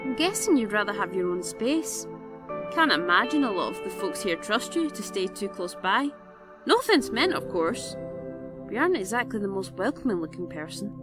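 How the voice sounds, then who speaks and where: A woman's voice: mid-range voice, sing-song, moderate pace, music in the background, natural voice. A woman playing a friendly, chatty young woman in an audio drama, with a casual, teasing manner.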